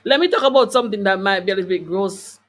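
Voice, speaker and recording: Lady host chatting live to online viewers, kinda preachy and emphatic, repeats herself, medium pitch. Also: expressive, quick pace. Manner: conversational, addresses listeners with rhetorical questions. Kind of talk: live talk to online viewers, reading out comments.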